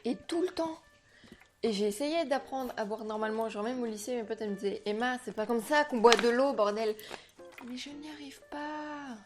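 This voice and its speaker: A young woman, a French native speaker, chatting to camera in a slangy, animated way, talking about herself as a vlogger. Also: fairly high pitch, moderate pace, music in the background. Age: young adult. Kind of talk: casual chat to camera.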